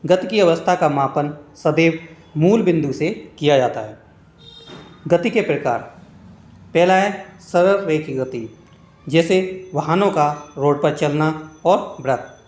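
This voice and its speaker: A man's voice: on the high side, expressive, slow, darkish tone. A man narrating a scripted lesson as an exam-prep teacher, reading out points one by one in an instructional way. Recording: echoey room.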